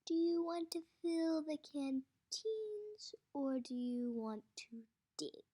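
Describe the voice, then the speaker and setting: Woman acting a slow, soft-spoken, menacing female warden. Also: high voice. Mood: icy politeness. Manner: slow, deliberate, icily polite. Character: cold, authoritative female camp warden, quietly menacing.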